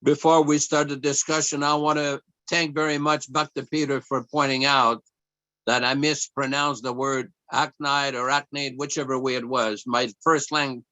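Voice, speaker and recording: A man whose first language is French gives a scripture class, reading slowly and carefully to his listeners. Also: medium pitch, flat delivery, bright, forceful tone, dry recording, rough recording. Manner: slow measured reading, then chanting prayers. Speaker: class speaker.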